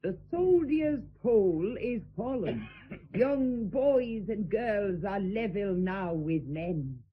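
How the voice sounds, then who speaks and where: An actress playing a vain, theatrical society hostess in a radio drama, with a grand, arch, declaiming delivery. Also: female voice, medium pitch, animated, slow pace, husky, dull audio. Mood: grandly tragic. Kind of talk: stage-style radio drama acting.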